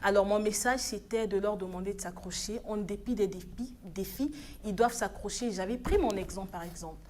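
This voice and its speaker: A woman from the Republic of the Congo in her thirties speaks as a guest on a television news set. She urges and encourages in an earnest tone and sometimes stumbles and restarts, and her voice sits at a middle pitch. Faint noise runs beneath the recording. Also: a little fast, darkish tone. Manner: earnest, exhorting, with hesitant restarts. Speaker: studio guest, African Union official. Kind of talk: TV news studio interview. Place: TV studio.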